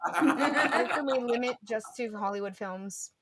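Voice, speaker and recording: A playful, excitable woman co-hosting a casual podcast and putting questions to the panel. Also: on the high side, moderate pace, crowd noise. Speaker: show co-host and quizmaster.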